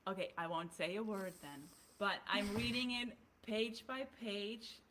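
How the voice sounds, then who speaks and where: A woman's voice: mid-range voice, steady tone, unhurried, a bit bright, slight background noise, poor audio. A woman with a German accent, co-hosting a podcast in casual chat, often restarting her sentences as she works through her ideas. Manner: talks through ideas with frequent restarts and fillers. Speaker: podcast co-host.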